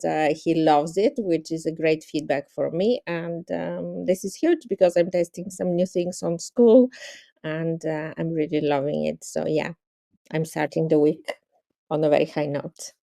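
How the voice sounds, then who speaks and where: Upbeat woman, warm low voice. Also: gravelly, no room sound, clean studio sound. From Bulgaria. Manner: upbeat, many fillers. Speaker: coach and film producer. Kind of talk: call introduction. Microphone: video call.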